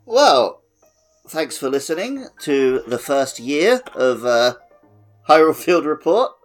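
A man's voice: fairly high pitch, sing-song, takes it slowly, soft background music. A man hosting a podcast in a casual, chatty style. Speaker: podcast host. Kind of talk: casual chat.

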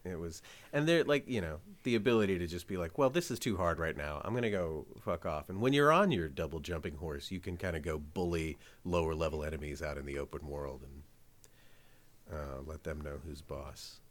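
A man's voice: very deep voice, normal speed. A man hosting a podcast, chatting casually and jokily, swearing now and then. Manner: laid-back, jokey, swears casually. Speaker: podcast host. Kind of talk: casual chat.

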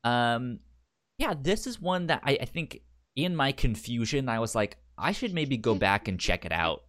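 A man's voice: mid-range voice, very animated, normal speed, fairly bright tone. A man co-hosting a podcast in casual chat, rambling, with many stammered restarts and the hiccups. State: has the hiccups. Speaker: podcast co-host.

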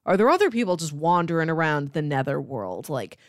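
A woman's voice: sits low, sing-song, brisk, dry recording, clean studio sound. A woman co-hosting a podcast, talking through a book in a casual, conversational way. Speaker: podcast co-host.

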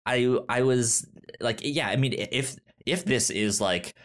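A man with a mid-pitched voice co-hosts a casual pop-culture podcast. He speaks haltingly, often stammering over a word and repeating it before he finds the rest of the sentence. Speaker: podcast co-host.

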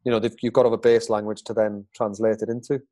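A man's voice: lowish voice, level tone, warm tone, no echo, studio quality. A man hosting a podcast, chatting in an upbeat, encouraging way. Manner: upbeat, encouraging, quick talker. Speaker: podcast host. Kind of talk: casual interview chat.

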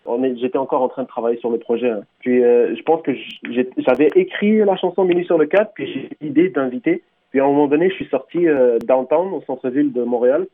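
Fast-talking rapper over a phone line. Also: male voice, medium pitch. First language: Tunisian Arabic. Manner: rambling, full of restarts and fillers. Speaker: rapper, interview guest. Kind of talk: radio interview answers.

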